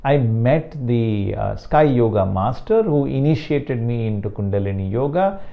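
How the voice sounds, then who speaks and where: An older man from South India with a Tamil-accented English, a meditation teacher speaking slowly and deliberately about his guru. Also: mid-range voice, animated, vocal fry, dull audio. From Chennai, South India. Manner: slow, deliberate, emphatic on key words. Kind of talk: reflective talk to camera.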